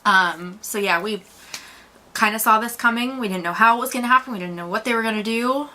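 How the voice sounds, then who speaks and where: A woman's voice: a little high, a little fast, pushed and bright. A woman co-hosting a film review in a casual, chatty style. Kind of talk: casual two-person chat review.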